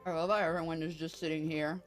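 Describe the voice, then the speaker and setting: A woman's voice: deep voice, little pitch movement, quick pace. A woman streaming a game, chatting casually in a playful, offhand, muttering way. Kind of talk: casual live stream chat. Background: game music.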